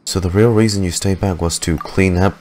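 A young man giving casual, low-key commentary while reacting to a video game in his room on a desk mic. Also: steady tone, a little fast, a little mellow. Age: young adult. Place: small home room. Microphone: desk mic on a boom arm. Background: game music.

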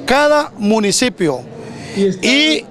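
A man's voice: very high-pitched, sing-song, takes it slowly, a crowd in the background. A middle-aged man, reporting outdoors at a street festival with a handheld mic in an upbeat, announcer-like manner. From Honduras. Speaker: field reporter. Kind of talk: TV news field report. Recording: outdoor report with loud music behind. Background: music.

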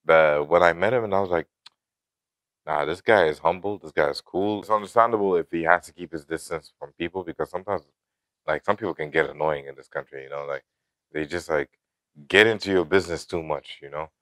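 A man's voice: low voice, brisk, fairly bright tone, no room sound, poor audio. A young man in his twenties talking to camera in a loose, rambling vlog, full of "you know" and "like", recorded on a lapel mic indoors in a house. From grew up in Lebanon. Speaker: vlogger.

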